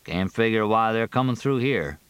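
A man's voice: fairly low pitch, animated, moderate pace, a bit bright, clean tone, no room sound. A man voicing a small-town sheriff, wry and plain-spoken, in a novel reading. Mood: grave, puzzled. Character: dry-humored, folksy small-town Southern sheriff, long married.

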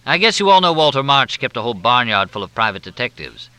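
A man's voice: medium pitch, big pitch swings, fast talker, bright, forceful tone, clear, resonant tone, no echo, voice pushed up. A man voicing an old, bitter retired journalist speaking wearily. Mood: rueful. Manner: weary, bitter.

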